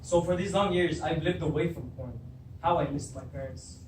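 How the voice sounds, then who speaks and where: A man's voice: fairly high pitch, normal speed, natural voice. A man acting a proud, troubled king in an amateur stage reading, declaiming and commanding. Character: proud, troubled king demanding the truth of his birth. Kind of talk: beginning drama class readers theatre. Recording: echoey room, distant mic, faint noise.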